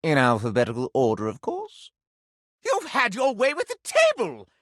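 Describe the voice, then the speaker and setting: A man's voice: high voice, huge pitch swings, normal speed, fairly bright tone, no room sound, clean studio sound, full character voice. A man playing a flamboyant living candelabra in a video game, a prudish gossip who speaks in pompous, archaic, melodramatic language, forever scandalized. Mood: imperious, hungry, then scandalized, aghast. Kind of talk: theatrical video game character acting.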